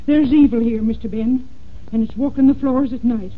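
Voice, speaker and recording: An actress playing a superstitious, stubborn older housekeeper with folksy, rustic dialect in an old-time radio drama. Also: female voice, fairly high pitch, steady tone, moderate pace, close to an everyday voice. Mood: spooked, insistent. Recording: tinny, noisy old radio recording.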